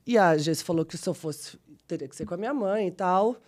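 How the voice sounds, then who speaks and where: A woman's voice: lowish voice, fast. Chatty São Paulo woman in her forties. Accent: São Paulo Brazilian Portuguese. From São Paulo, Brazil. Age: 40s. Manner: chatty, animated, self-deprecating, frequent restarts. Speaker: guest, model and actress. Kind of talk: casual interview storytelling.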